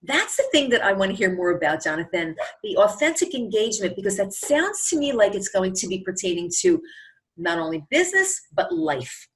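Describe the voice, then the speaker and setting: A woman's voice: medium pitch, sing-song, a little fast, low-quality recording. A woman hosting an interview show in an upbeat, effusive, gushing way. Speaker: talk show host. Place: online video call.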